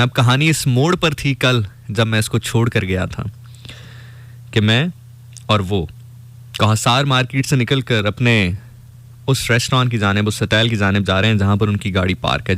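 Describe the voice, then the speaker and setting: A man's voice: fairly low pitch, fairly bright tone. Pakistani man telling a story on radio.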